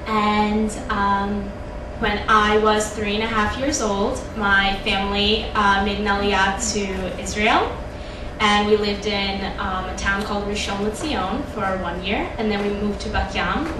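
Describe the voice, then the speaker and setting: A young woman from Russia speaking as a panelist, telling her story with many hesitations and fillers. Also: medium pitch. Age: young adult. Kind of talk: personal account on a panel. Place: event hall. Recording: echoey hall, distant mic, noisy.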